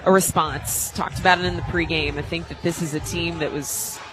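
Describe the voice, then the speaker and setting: An American woman giving live, conversational softball analysis as a radio color commentator. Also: fairly low pitch, steady tone, fairly quick, over music, low-quality recording. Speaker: color commentator, former player. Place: stadium radio booth.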